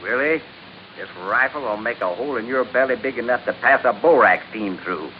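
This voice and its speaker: A man's voice: medium pitch, fairly quick, close to an everyday voice. Folksy Western marshal, played by a man, hissy recording. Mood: calmly threatening. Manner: drawling, folksy, plain-spoken. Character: folksy, gruff small-town Western marshal, unflappable. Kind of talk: old radio Western drama acting. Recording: muffled, hissy old radio recording.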